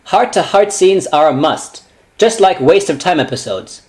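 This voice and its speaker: A man performing a scripted comedy parody in a sarcastic, deadpan manner. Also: on the high side, normal speed. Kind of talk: scripted comedy sketch voices and commentary.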